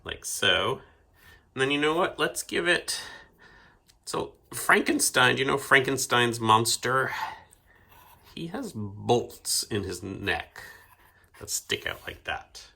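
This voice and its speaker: A man's voice: mid-range voice, lively intonation, takes it slowly, creaky, pauses often, sharp tone, breathy edge. A man leading a children's storytime, chatting and asking the kids questions while he draws and explains things. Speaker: author and illustrator leading a kids' storytime. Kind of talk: playful children's storytime talk.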